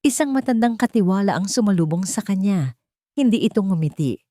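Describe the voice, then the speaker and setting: A woman's voice: mid-range voice, lively intonation, fairly quick, warm tone, dry recording, clean studio sound. A woman reading a dramatic scripted story as its narrator.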